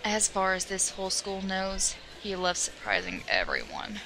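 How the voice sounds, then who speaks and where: Audio drama: sly, self-assured teen girl, female actor, fry-laden mid voice, noisy recording. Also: mid-range voice, wildly swooping pitch, moderate pace. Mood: teasing. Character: sly, confident, teasing teenage girl. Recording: clearly noisy home recording.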